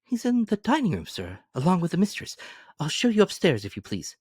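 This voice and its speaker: A man's voice: high voice, huge pitch swings, a little fast, a little mellow, breathy edge, no room sound, studio quality, voice pushed up, full character voice. A man doing a polite young maid. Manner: polite, deferential. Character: polite young female housemaid.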